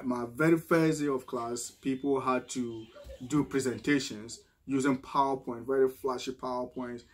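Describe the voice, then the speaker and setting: A middle-aged man from Ghana with a Ghanaian accent, talking casually to camera as a vlogger, often repeating words and tagging "right?" onto his sentences. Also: mid-range voice. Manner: conversational, frequent restarts and "right?" tag questions. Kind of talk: casual advice monologue.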